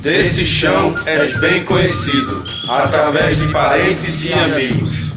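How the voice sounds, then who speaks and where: Grieving farmhand, half sung burial verse, highish voice, lots of echo. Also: male voice. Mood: grave, mournful. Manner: grave, measured, declamatory. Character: grieving farmhand man answering in funeral chant, grave. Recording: echoey, with loud music behind.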